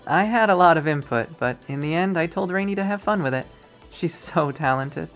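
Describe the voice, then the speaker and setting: A performer voicing a bubbly but touchy young woman in a visual novel, with an animated, emphatic, girlish manner. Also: medium pitch, moderate pace, faint music behind, voice pushed up. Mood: bright, chatty.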